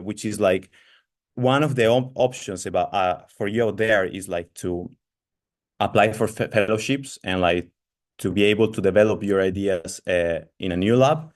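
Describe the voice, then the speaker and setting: A man with a fairly low voice hosts an online seminar over a video call. He hesitates often, apologizes, and fills his pauses with drawn-out sounds. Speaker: seminar host.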